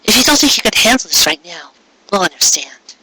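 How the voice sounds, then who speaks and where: Storybook pony, adult female, gentle and reasonable, bright higher tone, played by a male reader. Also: big pitch swings, fairly quick, full character voice, low-quality recording. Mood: sympathetic.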